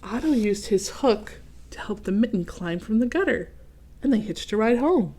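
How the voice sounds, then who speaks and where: A woman reading a picture book aloud to children, expressive and often turning to speak directly to the young listeners with questions and explanations. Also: medium pitch, moderate pace, a little hiss or hum. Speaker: read-aloud host for children.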